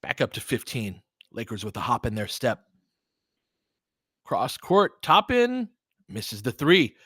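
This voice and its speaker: Bright-voiced man calling basketball like a fan. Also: mid-range voice, wildly swooping pitch, slow, husky, dry recording, studio quality. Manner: partisan fan calling plays in short clipped phrases, explaining conversationally. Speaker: host and play-by-play commentator. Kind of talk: live audio basketball commentary with casual tactical analysis.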